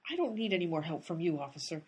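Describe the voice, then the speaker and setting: A brisk, exasperated amateur sleuth, played by a woman in a radio comedy. Her voice is very breathy and her pitch leaps widely as she bosses people around. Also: very animated. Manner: exasperated and bossy. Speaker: lead character, amateur sleuth. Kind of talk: comic radio play acting.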